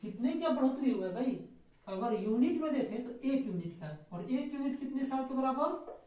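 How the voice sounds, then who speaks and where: A man's voice: very high voice, lively intonation, moderate pace, mellow, dull audio. A man teaching maths step by step in an informal classroom style, repeating himself and answering his own questions. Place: echoey indoor room. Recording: very echoey room, distant mic.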